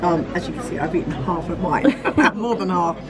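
A woman's voice: on the low side, brisk, a loud crowd. A middle-aged woman chatting casually about food, recorded by a camera across the table. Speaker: dining guest. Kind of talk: casual chat. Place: busy buffet restaurant. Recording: loud crowded restaurant noise.

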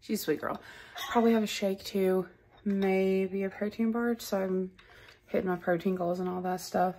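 Tired young woman vlogging, creaky voice. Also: mid-range voice, fairly even intonation, dark tone, slight background noise. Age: young adult. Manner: tired, unhurried, rambling. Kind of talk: casual chat to camera. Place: home kitchen, indoors. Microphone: phone front camera at arm's length.

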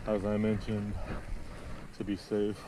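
Man vlogger, slow halting talker, mid-pitched voice, road and wind noise on an action cam. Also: monotone. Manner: rambling, searches for words, slow and halting. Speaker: cycling vlogger. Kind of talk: casual narration while riding. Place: outdoors on city streets, riding a bike. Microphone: action camera mounted on the bike.

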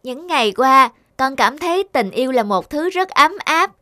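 A woman performs a small cartoon cupid with a high, childlike voice. The little pupil is wide-eyed, curious and very polite. Music plays along behind the dry, close recording. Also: lively intonation, moderate pace, bright, forceful tone, no room sound. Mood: eager, wondering. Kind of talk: cartoon dubbing.

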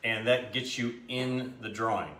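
A man in his fifties co-hosting a live shopping show from his kitchen, joking around, his voice a little deep and scratchy today. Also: fairly even intonation, a little slow, sharp tone. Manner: jokey, self-mocking, chuckles often. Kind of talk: casual live-stream banter. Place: large home kitchen, indoors. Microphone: camera mic at a distance. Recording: echoey kitchen, faint background noise.

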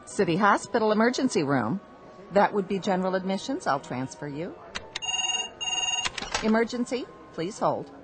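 Woman acting a brisk, expressive hospital nurse. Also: mid-range voice, over loud music, natural voice. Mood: curt, firm. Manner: curt, businesslike. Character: brisk, procedural hospital nurse, unflappable with difficult patients. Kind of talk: radio drama acting.

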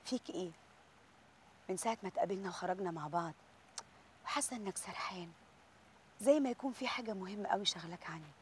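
Actress doing a soft-spoken young egyptian girl in love, talks fast. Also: medium pitch, a little hiss or hum, natural voice. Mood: tender. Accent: Egyptian Arabic. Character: young woman in love, tender and earnest. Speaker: Nora.

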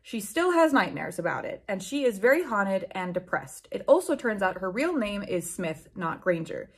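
Young woman in her 20s, kinda raspy, super lively pitch, reading stuff out and reacting like she can't believe it. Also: normal speed. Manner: incredulous, theatrical, sweary. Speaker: book channel host. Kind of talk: dramatic comedic read-aloud with reactions. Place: small room at home with bookshelves, indoors.

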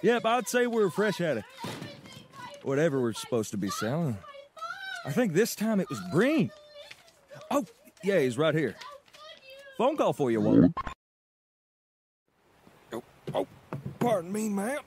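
Man voicing a cartoon mailman, clumsy, folksy drawl, stammering, lively pitch, faint hiss. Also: measured pace, long pauses, heavily acted. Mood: cheerful. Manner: flustered, stammers under pressure. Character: clumsy cheerful adult male mailman, folksy and fretful. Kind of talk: cartoon voice acting.

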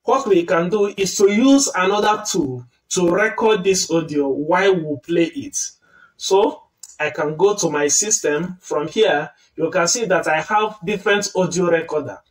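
A man walks through a software tutorial one click at a time, in a calm and patient manner. His voice sits a little high for a man. He sounds some distance from the computer mic. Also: measured pace. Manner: step-by-step, patient explaining. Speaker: tutorial host. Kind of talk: screen-recorded software tutorial.

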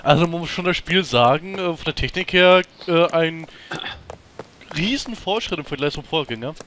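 A man's voice: a little high, animated, moderate pace, fairly bright tone, slight background noise. A man giving casual, dryly teasing let's play commentary.

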